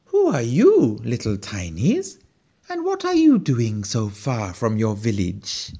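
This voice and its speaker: A storyteller voicing a curious, excitable male squirrel who exclaims his lines in a storybook reading. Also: takes it slowly. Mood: excited. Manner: exclaiming, high-strung.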